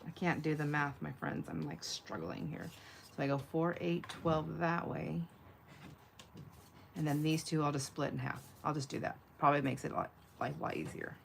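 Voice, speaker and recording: Female craft stream host, low nasal voice, rambles and thinks aloud informally, slight noise behind her. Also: expressive, moderate pace, gravelly. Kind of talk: casual live chat while crafting.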